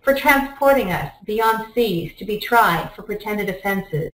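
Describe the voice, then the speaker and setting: Female reader, medium pitch, formal recitation, roomy and distant. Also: expressive, a little slow, a bit bright. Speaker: volunteer reader. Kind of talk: formal read-aloud of a historical document. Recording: echoey room, distant microphone.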